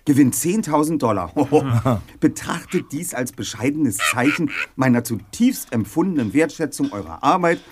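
A man playing an eager young detective in a German audio drama, precise and theatrical, with a slightly husky voice. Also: mid-range voice, lively intonation, normal speed, background noise, lightly acted. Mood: declaiming, intrigued. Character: eager, inquisitive young male detective reading a riddle aloud.

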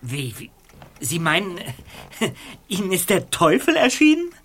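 A timid amateur detective in an audio drama. The voice is breathy and rough, jumping high and low. He stammers nervously and sounds easily spooked. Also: wildly swooping pitch, a little slow, fairly bright tone, exaggerated voice. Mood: alarmed. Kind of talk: German audio drama acting.

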